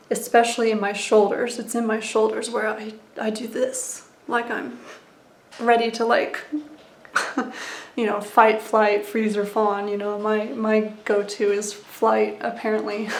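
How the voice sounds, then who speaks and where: Slow, soft-spoken woman. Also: a little high. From United States. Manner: soft-spoken and unhurried, with frequent ums and small laughs. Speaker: vlogger. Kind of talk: personal reflective vlog. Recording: echoey room.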